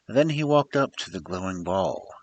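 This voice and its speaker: A man's voice: sits low, lively intonation, normal speed, darkish tone, rough recording. A man reading a story aloud as a storyteller, in a theatrical, emphatic storybook manner. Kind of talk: dramatic scripted story narration.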